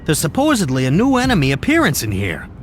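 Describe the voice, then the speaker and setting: A man playing an excitable, chatty fixer and comic-book buff who rambles through explanations in an animated game cutscene. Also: fairly high pitch, fast, slight background noise. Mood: urgent, informative.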